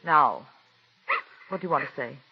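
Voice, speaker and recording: A woman's voice: sits low, lively intonation, moderate pace, noisy background. An actress playing a married woman who narrates and confesses a petty trick against a star, in 1940s radio drama style. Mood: stern. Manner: confiding, wry and self-reproaching in narration. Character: married woman, a theater star's friend, rueful and firm. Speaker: narrator and lead character. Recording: dull old radio broadcast with music.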